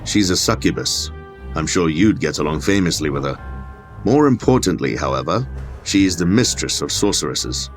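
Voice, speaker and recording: Man acting a deep-voiced, condescending occult scholar. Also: animated, normal speed, soft background music. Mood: sardonic, grave. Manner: pedantic, precise, dryly sardonic. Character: learned, condescending male occult scholar, clergyman. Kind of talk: dramatic audio fiction acting.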